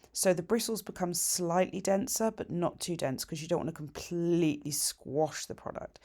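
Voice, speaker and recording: A middle-aged woman with a croaky voice, chatting her way through a nail tutorial voiceover with practical, cheerful asides. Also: fairly low pitch, a little mellow. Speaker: nail technician teaching a tutorial.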